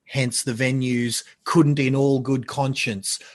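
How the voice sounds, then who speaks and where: A man chatting as a podcast guest, loud and animated, rambling through stories and correcting himself as he goes. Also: medium pitch, takes it slowly. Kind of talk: casual chat.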